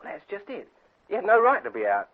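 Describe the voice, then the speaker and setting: An actor playing a cheeky, grumbling young detective's assistant in an English accent, slangy and informal, in a British radio drama. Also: male voice, high voice, huge pitch swings, fast talker, narrow, tinny audio, full character voice. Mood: earnest reporting. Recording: thin, band-limited old radio drama.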